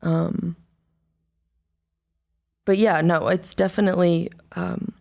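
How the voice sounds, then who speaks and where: A young woman, an artist, talking casually in an interview, rambling and halting with many fillers and restarts. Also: lowish voice, steady tone, gravelly, a little mellow. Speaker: album artist, interview guest. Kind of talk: casual conversation.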